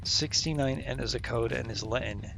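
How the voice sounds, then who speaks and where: A man with a gravelly voice doing casual livestream game commentary, muttering as he thinks aloud and reads game text. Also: medium pitch, normal speed, low-quality recording. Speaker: game streamer. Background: game music.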